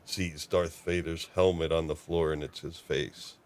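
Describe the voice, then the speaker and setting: A man hosting a casual interview, feeling out his questions in halting, tentative phrases. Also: very deep voice, flat delivery. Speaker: podcast host interviewing a writer.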